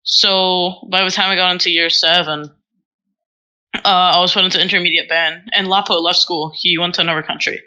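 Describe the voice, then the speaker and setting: A woman's voice: lowish voice, steady tone, a little fast, pushed and bright, poor audio. A teenage girl from Singapore, co-hosting a casual podcast and telling rambling, self-mocking stories full of fillers. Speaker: podcast co-host. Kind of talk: casual chat.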